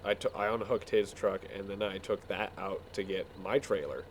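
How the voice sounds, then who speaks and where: A man casually telling a rambling story while playing a game, halting and repeating words as he goes. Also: low voice, fairly even intonation, a little hiss or hum. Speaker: video game player telling stories.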